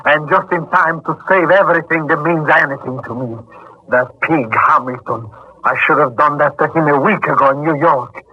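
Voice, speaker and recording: An actor playing an obsessive, menacing villain, theatrical and gloating, in 1940s radio drama style. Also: male voice, fairly high pitch, moderate pace, lightly acted. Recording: dull, lightly noisy 1940s radio recording.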